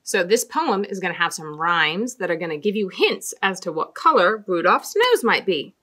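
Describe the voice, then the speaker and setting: A middle-aged woman telling stories and talking to young children as a storytime host, with an expressive, deliberate sing-song delivery and dramatic emphasis. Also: medium pitch. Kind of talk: read-aloud storytelling and talk to young children. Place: small indoor room with fabric backdrop.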